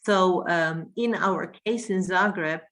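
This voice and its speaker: A woman who teaches world languages and coordinates home languages, giving a workshop presentation with frequent pauses and restarts. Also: on the low side, a bit bright. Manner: hesitant, many fillers and restarts while explaining.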